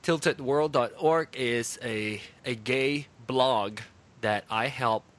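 A man's voice: mid-range voice, slow, fairly bright tone. A Malaysian man hosting a casual podcast, chatty and giggly with campy asides, talking over an internet call. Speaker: podcast host.

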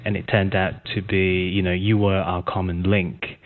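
Low-voiced British podcaster, teasing and chuckling. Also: male voice, little pitch movement, moderate pace, narrow, tinny audio, slight background noise. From United Kingdom. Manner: teasing, chuckling, hesitant with ums. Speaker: guest podcaster. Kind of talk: casual chat on a conference call.

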